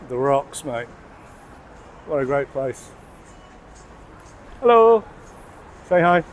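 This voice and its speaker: A man's voice: medium pitch, a little hiss or hum, low-quality recording. A man in his forties with a Mancunian accent, tipsy from beer, chatting and swearing freely as he vlogs a pub crawl through the city streets on a handheld phone. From England. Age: 40s. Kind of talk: casual walking vlog. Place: outdoors on city streets and a busy rooftop bar.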